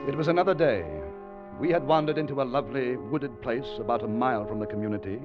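An actor in an old radio drama playing a tense, haunted adventurer telling of his expedition, dramatic and at times halting. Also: medium pitch, over music, close to an everyday voice. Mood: tense, suspenseful. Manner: dramatic, hesitant and halting in dialogue. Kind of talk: 1940s-50s radio drama narration and acting. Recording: dull old radio recording.

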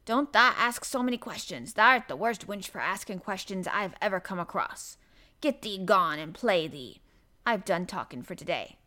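A woman's voice: on the low side, animated, unhurried, a bit bright. A woman voicing a grumpy old male gardener with a Yorkshire dialect and a grumbling manner, in an audiobook. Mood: cross. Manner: grumbling, grunting, dialect speech. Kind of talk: audiobook character voice.

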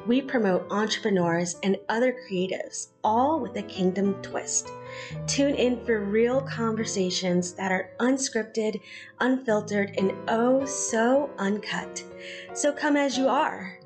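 A woman's voice: fairly high pitch, slow. A woman reading a scripted podcast introduction as its host. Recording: guitar music under the voice.

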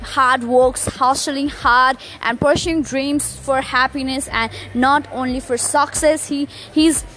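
A woman's voice: high voice, fairly even intonation, slow, hardly any pauses, a bit bright, low-quality recording. A young woman with a Nepali accent hosting a solo motivational podcast, upbeat, with many fillers and restarts. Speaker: podcast host. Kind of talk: casual solo podcast monologue.

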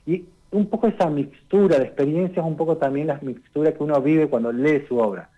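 A man, giving a conversational radio literature column and explaining things unhurriedly, often pausing on "eh" and tagging his sentences with "¿no?". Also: a little high, dark tone, rough recording. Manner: unhurried and explanatory, tags lines with "¿no?" and "eh".

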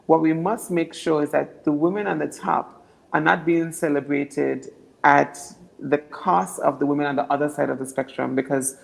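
A young woman from Anguilla with a naturally deep voice, answering interview questions earnestly and often repeating words as she builds her points. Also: level tone, normal speed, gravelly, poor audio. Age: young adult. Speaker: political candidate.

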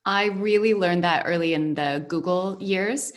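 A woman with a fairly low voice hosts a relaxed conversation. She talks in a reflective, storytelling way, pausing often with soft fillers. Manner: reflective, storytelling, frequent "um" pauses. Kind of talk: casual interview conversation. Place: based in Spain.

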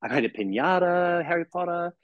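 A man's voice: high voice, expressive, fairly quick, dry recording, lo-fi sound. A man in his forties with a New Zealand accent, chatting as a podcast guest and rambling with lots of "you know" and restarts. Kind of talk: casual chat.

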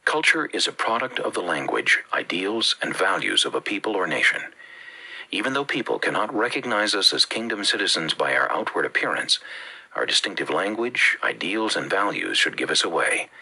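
Gravelly Bahamian man preaching. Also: low-pitched, very animated, moderate pace, bright, forceful tone, low-quality recording. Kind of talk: read-aloud religious teaching.

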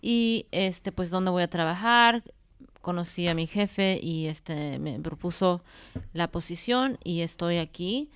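A woman's voice: fairly low pitch, slow pace, smooth, dry recording, clean studio sound. A woman telling stories casually as a podcast guest, somewhat hesitant, with bits of English mixed in. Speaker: podcast co-host as interview guest. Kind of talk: casual conversational storytelling.

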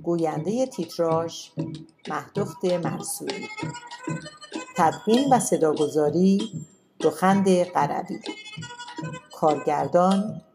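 Female announcer, lower pitch, scripted credits reading, prominent plucked-string music behind. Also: pauses often.

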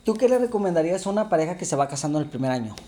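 A man's voice: fairly high pitch, sing-song, racing, dark tone. A man in his thirties with a Mexican Spanish accent, co-hosting a casual chat with his wife, joking and asking many questions. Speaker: podcast co-host.

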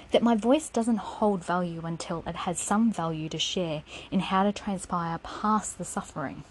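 A woman's voice: medium pitch, darkish tone, a little hiss or hum, rough recording. A woman giving a raw, defiant personal spoken essay, swearing freely and sometimes dropping to a whisper.

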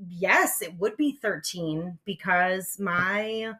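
A woman in her forties with a raspy voice, co-hosting a podcast in spunky, excitable casual chat. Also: lowish voice, slow. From United States. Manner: spunky, excitable, lots of fillers. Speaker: podcast co-host.

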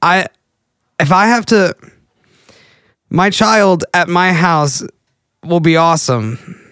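Man, late 20s, Texas accent, rambling, goofy, fairly high voice, dry close sound. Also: lively intonation, slow, fairly bright tone, clear, resonant tone, no room sound. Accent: Texan. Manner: goofy, rambling, self-interrupting. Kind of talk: casual rambling monologue.

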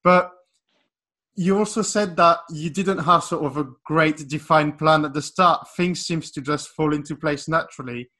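A man's voice: fairly high pitch, moderate pace, pushed and bright. A man interviewing a guest, asking long, friendly, conversational questions.

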